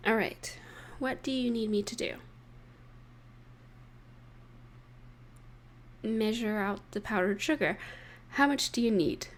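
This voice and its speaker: A woman voicing an affectionate, playful partner in an intimate roleplay audio, speaking gently and teasingly to the listener with pauses. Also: on the high side, close to an everyday voice. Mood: cheerful, playful.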